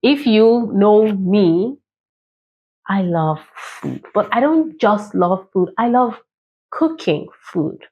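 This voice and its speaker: A woman's voice: mid-range voice, expressive, slow pace, husky, lo-fi sound. A woman hosting a children's read-aloud, playful and chatty, speaking straight to her young listeners. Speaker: children's storytime host and reader.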